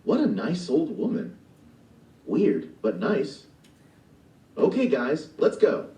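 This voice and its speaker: A man's voice: fairly high pitch, huge pitch swings, slow pace, gravelly, warm tone, far from the mic, voice pushed up, full character voice. A man voicing a cheerful, wide-eyed young man in a storybook play, recorded in a roomy space away from the mic. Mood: eager. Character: eager naive young man hero.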